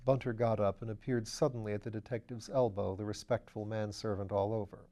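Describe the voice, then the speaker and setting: A man reading a classic mystery novel aloud in calm, even narration. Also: on the low side, fairly quick. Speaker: audiobook reader. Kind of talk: scripted narration.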